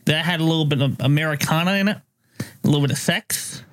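A man's voice: on the high side, quick pace, no echo. A man hosting a podcast in casual chat, telling stories in a loose, profane way. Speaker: podcast host.

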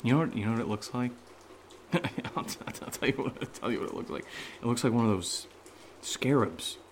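A man's voice: mid-range voice, fairly quick, a little hiss or hum, natural voice. A man playing a jittery fellow in a horror audio drama, stammering, swearing and rambling to himself. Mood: nervous rambling. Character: jittery man nervously talking to himself in an attic. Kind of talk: naturalistic solo horror audio drama acting.

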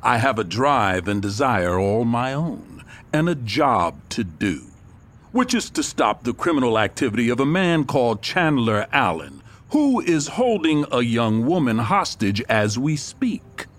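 An actor playing a cocky, menacingly playful detective from a story come to life, deliberate and theatrical in an audio drama. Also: male voice, medium pitch, big pitch swings, a bit bright. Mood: insistent. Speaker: actor in a fiction podcast.

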